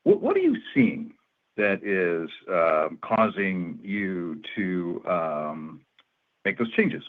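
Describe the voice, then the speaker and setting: Deep-voiced male analyst on tinny phone. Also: wildly swooping pitch, slow. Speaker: financial analyst asking questions. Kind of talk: earnings call questions, conversational. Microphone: telephone. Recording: narrow tinny phone line.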